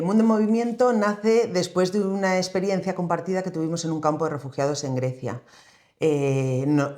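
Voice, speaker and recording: A woman's voice: low voice, a little mellow. Spanish woman recounting her experience, conversational. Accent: Spanish from Spain. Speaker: interview guest, co-founder of a social organization. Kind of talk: conversational interview account.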